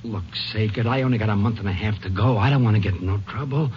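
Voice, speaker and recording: An actor playing a frightened, cowed prisoner who pleads nervously in a 1950s radio crime drama. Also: male voice, fairly low pitch, fast, natural voice. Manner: nervous, pleading, voice jumping up in fear. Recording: muffled, noisy old radio recording.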